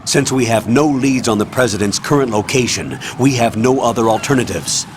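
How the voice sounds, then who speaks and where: A man's voice: mid-range voice, lively intonation, moderate pace, natural voice. An actor playing a stern male colonel giving firm orders over radio in a video game cutscene. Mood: commanding. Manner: firm, commanding, evasive. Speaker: commanding officer on radio. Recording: game mix with clear background noise.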